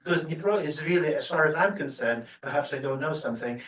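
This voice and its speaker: A man's voice: a little high, fast talker, fairly bright tone, slight background noise. Ukrainian-native guy asking a long question from the audience, lots of restarts, far off the mic in an echoey room. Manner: hesitant, many restarts, polite and probing. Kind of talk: long, winding question from the floor.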